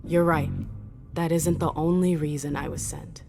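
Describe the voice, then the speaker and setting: An earnest vampire envoy in a game drama. Her voice is fairly low and her delivery direct and insistent, set over prominent music. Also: steady tone, moderate pace, gravelly. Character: determined, earnest young female vampire envoy. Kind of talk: dramatic video game cutscene acting. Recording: music behind the voice.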